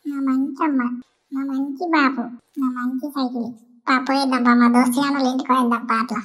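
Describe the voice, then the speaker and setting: A woman's voice: sits high, flat delivery, fast, a bit bright. A woman voicing a stubborn, whiny young schoolboy in a comedy cartoon, pleading dramatically in Telangana Telugu. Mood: happy, eager.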